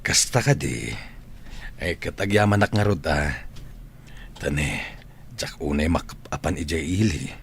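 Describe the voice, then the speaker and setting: A man acting an ailing, gentle retired schoolteacher in an Ilocano radio drama, with an Ilocano Filipino accent. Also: extremely low pitch, animated, measured pace, vocal fry, pauses often, a little hiss or hum, full character voice. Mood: weary, grateful. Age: older.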